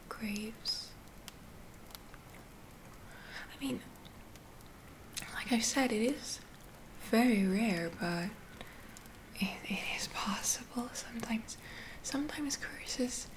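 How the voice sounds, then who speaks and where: A woman playing a gentle, reassuring witch healer in a soft whispered ASMR roleplay, soothing and a little hesitant. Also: mid-range voice, background noise, close to an everyday voice. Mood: concerned. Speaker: ASMR performer.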